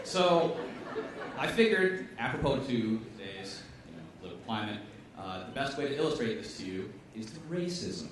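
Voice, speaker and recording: Guy doing a funny science talk, lively up and down voice, lots of room echo, mic kinda far. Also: lowish voice, moderate pace, noisy background. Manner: wry, emphatic, punchy delivery. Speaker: chemistry professor giving a comedic science talk. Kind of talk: stand-up style science talk. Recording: echoey room, voice from a distance.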